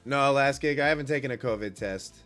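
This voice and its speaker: A man's voice: mid-range voice, a little fast, a bit bright, soft background music. A man with a slightly stuffy, congested nose hosts a live trading stream, chatting casually and commenting on the markets in a laid-back, rambling, informal way. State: stuffy nose and cough from a cold. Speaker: livestream host, futures day trader.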